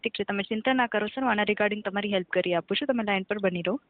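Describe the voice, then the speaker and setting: Fast-talking woman on a phone line. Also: medium pitch, slight background noise. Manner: formal, courteous, often checks for agreement. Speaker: customer care agent. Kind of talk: scripted, polite customer service phone talk. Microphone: telephone call.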